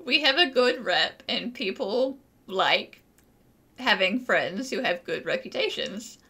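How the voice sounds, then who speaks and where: A woman's voice: medium pitch, lively intonation, takes it slowly, bright, forceful tone, husky. A woman gaming streamer giving casual, playful, joking commentary as she plays. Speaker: game streamer.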